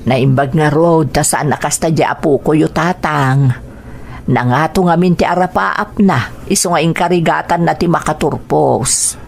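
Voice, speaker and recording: A woman with a Filipino accent acting a gentle, respectful village mother and daughter in an audio drama. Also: low voice, moderate pace, gravelly. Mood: caring. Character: caring grown daughter and mother of a village family.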